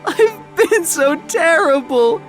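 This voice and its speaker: A performer voicing a melodramatic woman who sobs and pleads in drawn-out phrases, in an audio drama. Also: extremely high pitch, expressive, soft background music, voice pushed up, full character voice. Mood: tearful, pleading. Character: weeping, gushing, theatrical mother, newly transformed woman.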